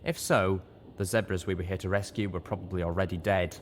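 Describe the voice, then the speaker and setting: A man reads a long fan novel aloud as an audiobook in a steady, earnest voice. His voice sits a little low for a man. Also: fairly quick, slight background noise. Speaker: audiobook reader. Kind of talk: audiobook narration.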